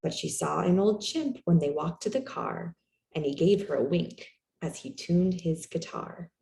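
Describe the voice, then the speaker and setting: A woman reading a children's picture book aloud in an expressive, animated storytelling manner for young listeners. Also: fairly low pitch, a little slow, vocal fry. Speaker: storytime reader. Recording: roomy, echoey room sound.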